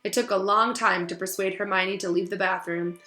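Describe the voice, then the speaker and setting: A woman reading a storybook aloud as narrator, expressive, with casual asides to her listeners. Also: medium pitch, brisk, faint music behind.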